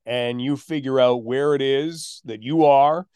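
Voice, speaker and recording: A man's voice: mid-range voice, fairly even intonation, measured pace, warm tone, clear, resonant tone, no room sound, clean studio sound. A man hosting a sports talk podcast, analysing casually and emphatically, often asking and answering his own questions. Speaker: sports podcast host and play-by-play announcer.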